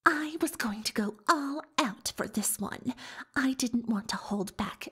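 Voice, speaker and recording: A woman voicing a boastful, dramatic young female unicorn magician in soft-spoken, often whispered roleplay ASMR, theatrical and self-important. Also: fairly high pitch, a little slow, breathy edge, heavily acted. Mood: dramatic storytelling. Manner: theatrical, self-important, speaks of herself in third person.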